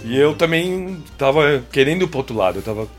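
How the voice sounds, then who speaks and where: A man's voice: a little high, lively intonation, a little fast, faint music behind. Rambling Brazilian man, casual interview chat. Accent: Brazilian Portuguese. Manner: rambling, full of filler tags. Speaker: guest musician.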